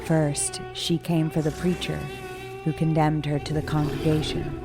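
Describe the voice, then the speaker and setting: A woman with a low voice narrates a dark folk tale. Her reading is measured, solemn and dramatic, like a scripted story. Music runs clearly behind her. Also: flat delivery. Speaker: storyteller, guest writer reading own story.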